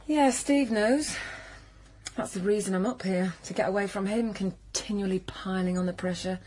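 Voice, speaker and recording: An anxious but stubborn young woman from the north of England, played by an actress, with a natural, everyday delivery at a middling pitch. It is radio drama, with noticeable ambient noise around the voice. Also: normal speed, a little mellow, lightly acted. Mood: guarded, friendly.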